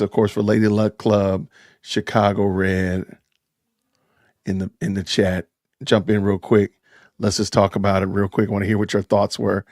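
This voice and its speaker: Excitable man hosting a livestream. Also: fairly low pitch, no room sound, studio quality. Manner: excitable, exclaims loudly. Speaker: livestream host. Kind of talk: casual livestream chat.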